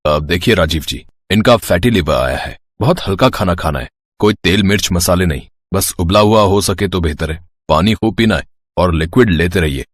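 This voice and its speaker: A man playing a calm doctor in a moral story, giving measured, instructive advice. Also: sits low. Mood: calm advising. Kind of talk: dramatised Hindi moral story.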